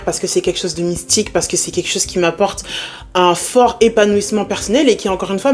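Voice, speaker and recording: Animated young French woman vlogging. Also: medium pitch. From France. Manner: lively, animated, mixes in English words. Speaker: spiritual content creator. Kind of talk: casual talking-to-camera vlog.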